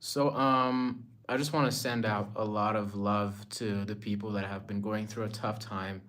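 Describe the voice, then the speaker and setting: A man's voice: on the low side, little pitch movement, measured pace, a bit bright. A man with a North American accent hosting a livestream, chatting informally with viewers in slangy, often repeated short phrases and reading their comments. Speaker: livestream host. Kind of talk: casual chat with live viewers, reading comments aloud.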